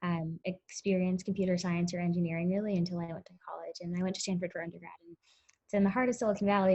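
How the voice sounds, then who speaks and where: A woman's voice: fairly low pitch, dark tone. A woman, answering interview questions in a chatty way full of ums and likes. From Connecticut, US. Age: 20s. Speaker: interview guest, tech startup founder. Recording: muffled lo-fi audio.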